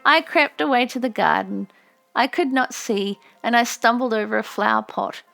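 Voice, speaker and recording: High-voiced woman narrating an audiobook. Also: moderate pace, vocal fry, pushed and bright. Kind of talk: expressive scripted audiobook narration.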